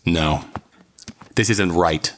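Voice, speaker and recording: An actor playing a principled, suspicious police officer in an audio drama, indignant and questioning. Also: male voice, deep voice, very slow, slight background noise. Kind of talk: naturalistic audio drama dialogue.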